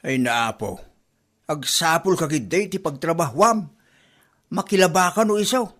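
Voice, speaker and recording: A man's voice: fairly high pitch, expressive, a little slow, a bit bright. A man acting a nagging old Ilocano father. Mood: exasperated lecturing. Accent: Filipino, Ilocano. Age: older. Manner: nagging, lecturing. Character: scolding old father and grandfather, exasperated, blunt. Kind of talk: Ilocano comedy drama acting.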